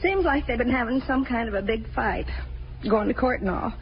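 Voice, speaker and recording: Chatty nosy woman, hesitant confider, vocal fry, muffled hissy vintage radio recording. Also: fairly high pitch, lively intonation, brisk. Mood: confiding. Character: chatty, nosy working woman confiding office gossip to police. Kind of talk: 1950s radio drama acting.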